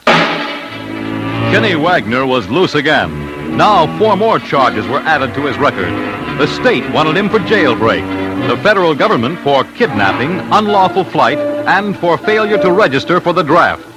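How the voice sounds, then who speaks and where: A man's voice: fairly high pitch, very animated, a little slow, music in the background, rough recording. A man narrating an old-time radio crime documentary in a dramatic 1940s style.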